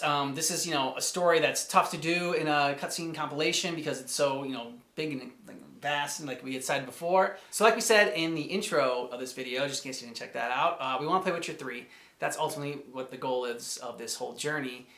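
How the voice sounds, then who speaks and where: A man co-hosting a casual reaction show, chatting about game cutscenes in a halting, rambling way as he thinks aloud. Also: fairly high pitch, fairly bright tone. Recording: echoey room.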